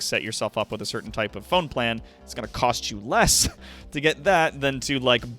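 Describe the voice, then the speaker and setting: A man's voice: fairly low pitch, pushed and bright. A man streaming casually to his viewers, rambling and swearing freely as he talks as an online entertainer. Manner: profane, rambling, effusively thankful to viewers. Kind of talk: casual livestream chat with viewers.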